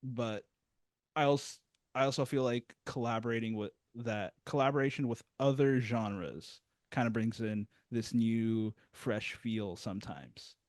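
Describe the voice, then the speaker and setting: A man's voice: medium pitch, a little slow, a little mellow, no room sound. Rambling man hosting music chat. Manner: rambling, many restarts, self-deprecating. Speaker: music review host. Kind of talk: casual interview chat. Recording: dry, close sound.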